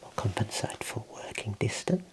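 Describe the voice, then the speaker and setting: A man in his thirties whispering gently and soothingly in an ASMR roleplay as an optician, close to the mic in a small room. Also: normal speed, low-quality recording. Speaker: ASMR performer playing an optician.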